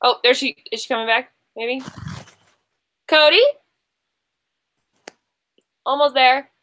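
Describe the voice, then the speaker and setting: A woman's voice: high voice, steady tone, takes it slowly, a bit bright. A woman hosting an online book quiz, talking off the cuff with contestants over a group video call.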